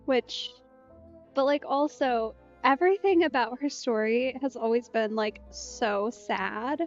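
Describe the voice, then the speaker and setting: A woman's voice: a little high, slow, muffled recording, faint music behind. A woman gaming streamer giving casual, chatty, reflective let's play commentary.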